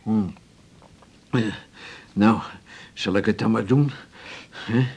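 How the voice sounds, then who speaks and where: A man's voice: fairly low pitch, takes it slowly, a little mellow, husky, exaggerated voice. An actor playing an elderly, breathless male patient in a radio drama, homely and hesitant, stubborn but amiable. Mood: puzzled, amiable. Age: older.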